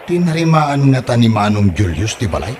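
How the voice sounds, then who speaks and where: A man's voice: medium pitch, sing-song, moderate pace, darkish tone, a little hiss or hum, lightly acted. A man playing a grumbling but easygoing husband in an amateur comedy audio drama, with a casual, grunting delivery.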